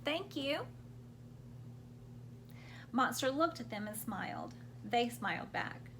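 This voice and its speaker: A woman's voice: on the high side, huge pitch swings, normal speed, creaky, a bit bright, a little hiss or hum. A woman hosting a children's storytime, reading picture books aloud expressively and explaining things simply for young listeners.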